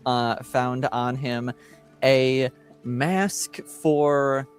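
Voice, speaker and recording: A man acting as game master, giving a casual recap of a tabletop game session in a halting way with frequent mid-sentence pauses. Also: mid-range voice, fairly even intonation, smooth. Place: remote online stream call. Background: music playing underneath.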